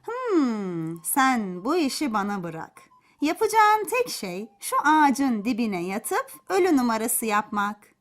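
A woman's voice: on the high side, sing-song, slow pace. A woman voicing a sly, helpful fox who hums thoughtfully and coaxes persuasively in a children's folk tale. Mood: scheming. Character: sly, helpful male fox, scheming and coaxing.

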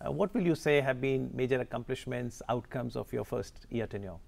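A man in his thirties, TV morning show host, polite, measured questions, pauses with "uh", lapel mic, studio set. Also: medium pitch, gravelly, a little mellow. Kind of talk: studio TV interview.

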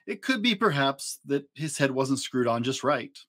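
Animated man reading a storybook. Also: medium pitch. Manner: dramatic, playful emphasis with drawn-out repeats. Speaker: dad storyteller, host. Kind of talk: expressive children's storybook reading. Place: small indoor home studio room.

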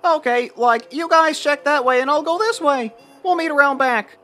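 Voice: high voice, moderate pace, sharp tone, faint music behind. A performer voicing a lanky, cowardly young slacker guy in a cartoon, whiny and sarcastic, peppering speech with "like". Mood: casual. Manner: whiny, says "like" constantly. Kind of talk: cartoon voice acting.

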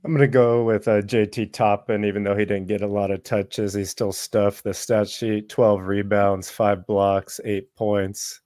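A man's voice: on the low side, flat delivery, measured pace, few pauses, a little mellow, no echo. A man in his thirties giving casual sports analysis as a podcast panelist, close on the mic. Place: indoors at home. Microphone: close podcast mic, headphones.

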